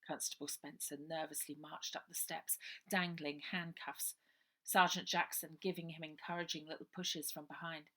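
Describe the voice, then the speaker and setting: A woman's voice: sits low, little pitch movement, normal speed, bright, forceful tone. A woman reading her children's novel aloud as a dramatic storybook narration.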